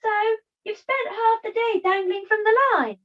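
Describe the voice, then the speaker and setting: A woman's voice: extremely high pitch, normal speed. A young woman voicing a playful, cheeky, carefree puppet in an amateur play on a video call. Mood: cheeky, breezy. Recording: muffled video call audio.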